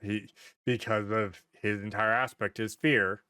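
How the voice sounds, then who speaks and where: A man's voice: fairly low pitch, fairly even intonation, measured pace, pushed and bright, smooth, no echo, studio quality. A man hosting a podcast in casual pop-culture chat, speaking in an informal, sweary, emphatic way. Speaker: podcast host.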